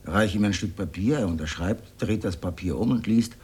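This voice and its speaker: An actor playing an older communist and failed stage actor in a radio drama, sardonic, defiant and declamatory. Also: male voice, on the low side, moderate pace, darkish tone, husky. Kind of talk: radio drama monologue, theatrical.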